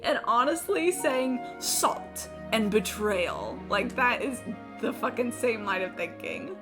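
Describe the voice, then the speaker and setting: Lively, high-voiced young woman streamer. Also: slow. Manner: informal, sweary, rambling. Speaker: livestreamer. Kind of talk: casual gaming stream chat. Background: game music.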